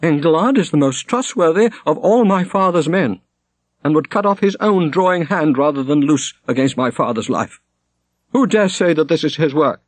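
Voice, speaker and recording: Man playing a fierce, high-pitched young woman. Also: lively intonation, moderate pace, no echo, lightly acted. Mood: indignant. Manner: harsh, scornful, forceful. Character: fierce, proud, grieving young Welsh woman. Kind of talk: audiobook character acting.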